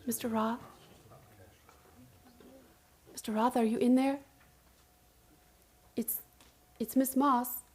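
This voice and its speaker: Actress as obsessed woman patient, high voice, haunted, urgent, theatrical radio drama delivery. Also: fairly even intonation, a little slow, slightly rough. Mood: pleading, urgent. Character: obsessed, frantic woman hospital patient telling her strange story. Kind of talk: radio play acting, first-person dramatic narration.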